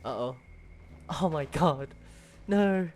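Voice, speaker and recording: A woman's voice: low voice, lively intonation, takes it slowly, darkish tone, slight background noise. A woman doing casual gameplay commentary, thinking aloud with puzzled reactions and exclamations. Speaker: game streamer. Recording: game music behind voice.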